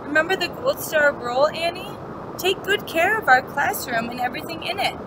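A patient schoolteacher, a man in a children's storybook, read by a woman, gently reminding pupils of the rules in a high, instructive voice. The recording is clearly noisy. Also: expressive, moderate pace. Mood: gently firm.

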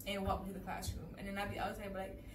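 Young woman telling lively stories, echoey room. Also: mid-range voice, racing. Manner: lively, acts out kids' voices. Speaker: English teacher in Japan. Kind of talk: casual chat, telling anecdotes. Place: indoor room with framed photos. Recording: echoey room, far mic, clear room hum.

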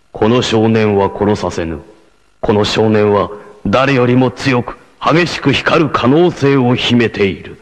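A man voicing a noble, self-sacrificing blind warrior leader in a 1980s anime, with a solemn, formal, declamatory delivery. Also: fairly low pitch. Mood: resolute, passionate. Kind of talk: 1980s anime martial-arts voice acting.

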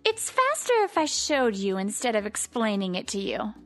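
A woman's voice: medium pitch, wildly swooping pitch, moderate pace, no echo. A woman voicing a bossy but caring teenage delinquent girl in a manga comic dub, casual, blunt and teasing. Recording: background music under the voice.

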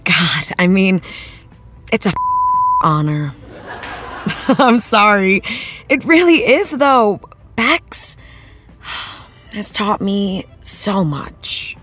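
A candid actress chats as a talk show guest. She is playful and self-deprecating, with very animated pitch and a leisurely pace. Music sits behind her voice. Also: drawn-out words, pauses often, breathy edge, heavily acted. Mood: earnest, proud. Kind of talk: conversational celebrity interview.

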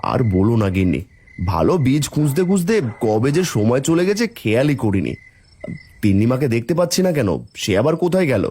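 A man's voice: medium pitch, sing-song, fairly quick, mellow, slight background noise, natural voice. A man voicing a kindly village farmer and father with an affectionate, plain-spoken manner in a children's cartoon. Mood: tender.

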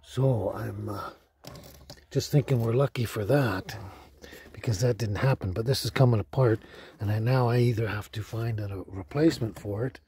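A man's voice: lowish voice, moderate pace, mellow. An older man chatting casually to camera in a hesitant, rambling way. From Canada. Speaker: vlogger, host. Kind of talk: casual unscripted vlog talk. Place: outdoors at a forest campsite and inside a vehicle canopy. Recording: clear background noise, handheld outdoor audio.

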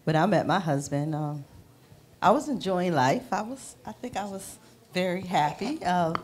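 A woman's voice: lowish voice, lively intonation, normal speed, a little mellow, slightly rough. A married woman on a panel telling a personal story, acting out her inner voice. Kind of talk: casual panel interview.

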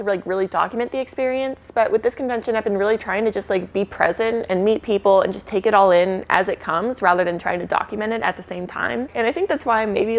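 A woman in her twenties chatting informally to a handheld camera, self-deprecating and giggly, in casual vlog style. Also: medium pitch. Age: 20s. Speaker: vlogger. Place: hotel room, street, convention hall. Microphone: handheld camera at arm's length.

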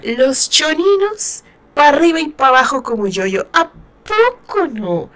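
A woman with a northern Mexican accent and a fairly high voice talks through a romance novel. She giggles and sighs often and reacts with lots of feeling. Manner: giggly and expressive, sighing often. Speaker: book reaction host. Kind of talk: casual reading commentary.

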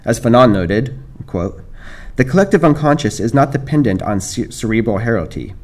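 A middle-aged American man giving a measured academic talk at a lectern, reading from notes with small restarts. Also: medium pitch, expressive. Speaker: panel speaker. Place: dark lecture hall, standing at a lectern. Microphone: lectern microphone.

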